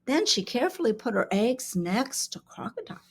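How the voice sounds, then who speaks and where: A woman's voice: on the high side, husky. A woman reading a children's storybook aloud expressively, as a school reader does in an interactive session. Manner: expressive, pauses to ask questions.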